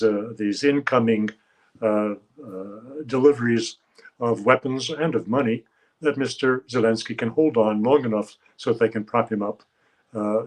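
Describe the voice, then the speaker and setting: Halting older male analyst. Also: medium pitch. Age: 70s. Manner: measured, halting, with frequent uh fillers. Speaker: political analyst guest. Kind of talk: expert commentary in an interview.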